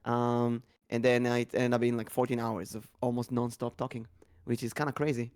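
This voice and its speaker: A man hosting a casual podcast, talking in a chatty, rambling way with a slightly tired, raspy voice. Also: mid-range voice, little pitch movement, moderate pace, darkish tone, dry recording, studio quality. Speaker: podcast host.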